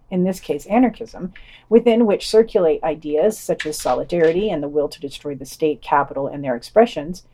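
A woman's voice: fairly low pitch, warm tone. A woman hosting a podcast, reading scripted news items and adding dry, wry asides.

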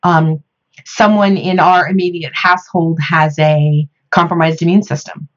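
An American woman in a reflective podcast discussion, speaking thoughtfully and haltingly with pauses between phrases. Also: deep voice, steady tone, dull audio.

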